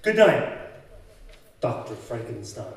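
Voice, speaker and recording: A man's voice: medium pitch, sing-song, slow pace, a little mellow. A man playing a kindly, reassuring ship's captain in an old-time radio drama, with a stagy delivery. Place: live on stage in a community hall. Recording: echoey hall, distant mic, faint noise.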